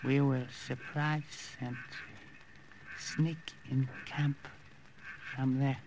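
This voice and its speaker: An actor playing a terse, wary native jungle scout who speaks halting, broken English in an old radio drama. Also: male voice, medium pitch, little pitch movement. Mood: hushed, wary. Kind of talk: 1950s radio drama acting. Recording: old noisy radio recording.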